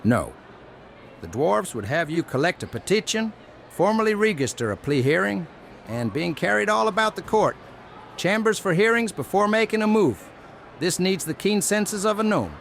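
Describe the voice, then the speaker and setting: A man voicing a plump old gnome elder with a creaky voice and quaint, deliberate, formal phrasing, in a fantasy audio story. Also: high voice, very animated, a bit bright, a little hiss or hum, full character voice. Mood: quirky, earnest.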